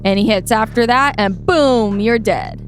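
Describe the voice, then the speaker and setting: Woman as rich teen gamer boy, callous, cocky, higher voice, music behind, audio play. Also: a little slow, close to an everyday voice. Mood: smug, offhand. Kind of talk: audio play table read.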